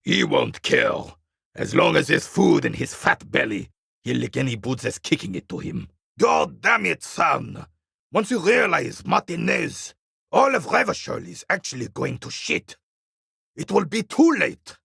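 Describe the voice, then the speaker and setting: Casting: scornful old soldier for a game, heavy fry, rasping, wildly animated delivery. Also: male voice, on the high side, a little slow, dry recording, clean studio sound, exaggerated voice. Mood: indignant, forceful. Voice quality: gravelly, raspy. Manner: gruff, scornful, theatrical, barking at younger men. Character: bitter, proud old royalist war veteran. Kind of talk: video game character dialogue.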